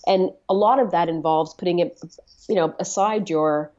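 A middle-aged woman from Canada who talks in a low, earnest voice. She often hesitates and repeats words as she reflects on her story. The sound is slightly muffled and lo-fi. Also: moderate pace, darkish tone. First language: Swahili. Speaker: interview guest. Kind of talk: reflective conversational interview.

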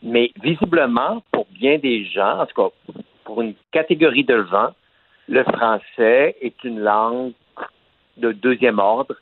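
A man, an actor and author giving a radio interview, articulate and measured. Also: medium pitch, no echo. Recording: phone line.

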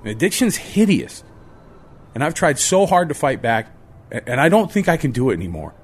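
An actor playing a gentle, ashamed homeless man battling addiction, confessing haltingly in a radio drama. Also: a little high, very animated, slightly rough. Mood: despairing.

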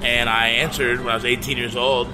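A man's voice: medium pitch, flat delivery, brisk, rough recording. A man in his thirties from Oklahoma, a band's guitarist, chatting in eager, laughing, rambling bursts with casual swearing. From Oklahoma, USA. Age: 30s. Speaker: band's guitarist, interview guest. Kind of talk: casual storytelling chat. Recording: music playing underneath.

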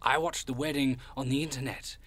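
Man playing genteel, effusive devilish gentleman. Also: medium pitch, steady tone, fairly quick, close to an everyday voice. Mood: chatty, proud. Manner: effusive, quaintly polite, theatrical. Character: genteel, hospitable, macabre devil-like gentleman cryptid. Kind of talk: audio drama acting.

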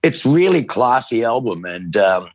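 A man's voice: mid-range voice, big pitch swings, measured pace, no echo. A man co-hosting a radio show, interviewing a guest in an effusive way, heaping on praise. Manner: effusive, full of praise, many fillers.

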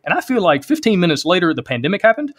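Podcast host dude, talks quick and a bit high, really pumped about everything. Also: male voice, sing-song, a bit bright. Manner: enthusiastic, encouraging questions. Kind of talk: casual interview chat.

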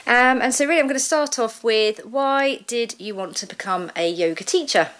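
A woman's voice: fairly high pitch, normal speed, fairly bright tone. A woman, hosting a casual interview and putting questions to a guest. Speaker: podcast host, interviewer.

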